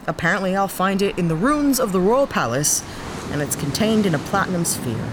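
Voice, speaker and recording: A woman's voice: fairly low pitch, lively intonation, fairly quick, noisy background, natural voice. A woman voicing a weary, disillusioned former cop turned freelancer, talking in a candid, rueful, self-deprecating way. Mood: confiding. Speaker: lead character. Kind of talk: audio drama acting.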